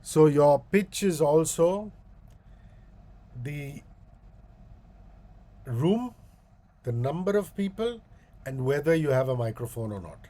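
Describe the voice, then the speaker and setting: A man with a booming voice and an Indian English accent, giving a public speaking workshop as a guest speaker. He talks slowly and deliberately, with long pauses and repeated demonstrations. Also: lively intonation, dark tone.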